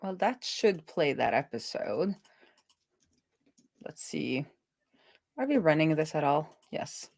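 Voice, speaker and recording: A woman talking casually as she live-codes, thinking aloud in a playful, animated manner. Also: deep voice, takes it slowly, gravelly, long pauses, dry recording. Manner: animated, playful, breaks into laughter and song. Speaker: live-coding streamer, web developer.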